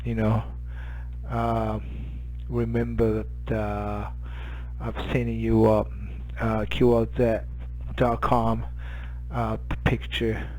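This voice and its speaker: A man's voice: lowish voice, completely flat delivery, takes it slowly, a little mellow, a little hiss or hum, rough recording. A man in his fifties with a Japanese accent, chatting casually as an amateur radio operator, hesitant and searching for words. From Hokkaido, Japan. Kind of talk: casual radio contact chat.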